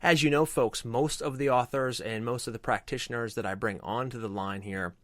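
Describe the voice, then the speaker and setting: A man's voice: medium pitch, steady tone, moderate pace, fairly bright tone, no room sound. A man hosting a talk show, reading sponsor plugs and welcoming a guest with enthusiasm and frequent fillers. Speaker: host.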